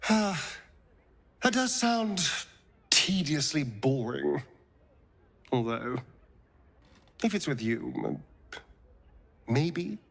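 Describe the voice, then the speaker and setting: An actor playing a suave vampire rogue in a video game, with an arch, drawling, theatrical manner that is teasing and tender. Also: male voice, a little high, big pitch swings, very slow, vocal fry, pauses often, heavily acted. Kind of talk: dramatic video game dialogue.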